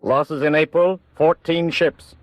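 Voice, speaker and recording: An actor playing a precise, authoritative announcer in a wartime documentary radio drama. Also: male voice, fairly high pitch, steady tone, slow, dry recording, muffled recording, soft background music. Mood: factual. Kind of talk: formal documentary radio narration.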